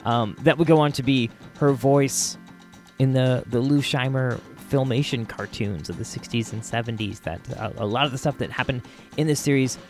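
A man's voice: medium pitch, moderate pace, darkish tone. Casual American male podcaster over music. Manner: informal, hesitant, chatty. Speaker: podcast host.

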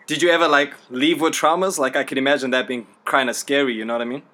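Zimbabwe-raised dude hosting, talks quick, slangy and hyped. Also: male voice, medium pitch, steady tone, sharp tone. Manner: upbeat, slangy, quick reactions like "that's dope". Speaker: host. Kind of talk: casual interview.

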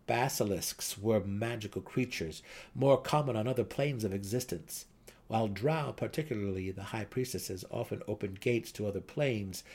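A man's voice: on the low side, darkish tone, breathy edge. A man reading a fantasy novel aloud as a dramatic narrator. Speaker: audiobook narrator.

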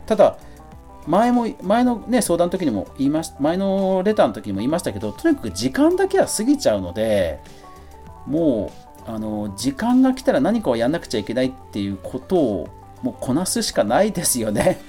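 Middle-aged man, lively mellow podcast chat. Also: fairly high pitch, brisk, soft background music. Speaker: podcast host. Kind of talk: casual solo talk answering a listener letter.

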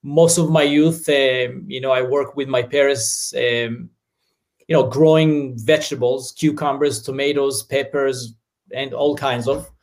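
A man's voice: mid-range voice, steady tone, a little slow. Man with an Israeli accent. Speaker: business founder and guest. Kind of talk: casual interview storytelling.

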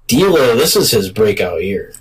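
A man hosting a casual sports talk show, speaking in a deliberate, halting way. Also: on the low side, very animated, recorded from a distance. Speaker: sports podcast host.

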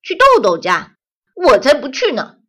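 A woman doing a loud, sulky young boy. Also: high voice, big pitch swings, slightly rough, pitched above the performer's own voice, full character voice. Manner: loud, quick, defensive. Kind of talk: children's audio story.

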